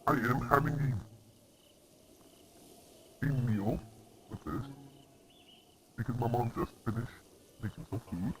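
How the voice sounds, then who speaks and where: A young man casually chatting while streaming a game from home, reacting out loud to the game and to chat. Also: mid-range voice, measured pace, pauses often, slight background noise, poor audio. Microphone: laptop microphone.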